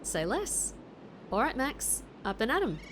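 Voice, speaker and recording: A woman's voice: on the high side, big pitch swings, measured pace, background noise. A woman playing a breezy, friendly Australian secret agent in an audio drama, chummy and slangy, with a playful manner. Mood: cheerful. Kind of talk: audio drama acting with narration.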